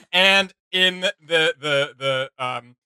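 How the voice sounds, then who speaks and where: A man giving casual, joking commentary as a reaction host. His voice is very bright and a little high, with a giggly, animated delivery. The sound is dry and close. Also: extremely slow, clean tone, no room sound.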